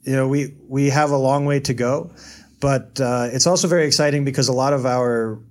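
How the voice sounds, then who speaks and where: A man's voice: medium pitch, fairly even intonation, a little fast. An American man speaking as an interview guest, thinking aloud with lots of fillers and hedges. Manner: thoughtful, hedging with many fillers. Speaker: guest, data journalist. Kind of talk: casual interview answers.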